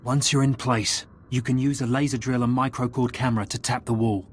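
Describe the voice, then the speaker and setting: Game crewwoman, really deep for a woman, brisk and matter of fact. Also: steady tone, slightly rough. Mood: businesslike.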